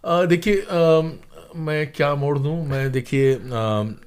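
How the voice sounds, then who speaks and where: An older man recounting things as an interview guest, with hesitant, deliberate phrasing and emphatic points. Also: fairly high pitch, a little mellow, clear, resonant tone. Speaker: interview guest, professor and former radio drama producer. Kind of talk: conversational interview, reflective recounting.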